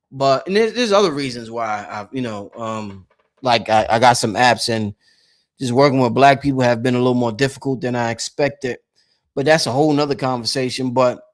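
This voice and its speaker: A man in his late thirties talking casually to his viewers about spiritual matters, rambling and repeating phrases for emphasis. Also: medium pitch. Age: late 30s. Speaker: host of a spirituality channel.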